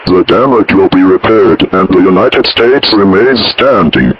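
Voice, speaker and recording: A man's voice: deep voice, a little slow, slight background noise. A man giving a scripted civil emergency announcement, formal and official. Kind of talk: scripted emergency alert narration. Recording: dull lo-fi broadcast sound. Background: music.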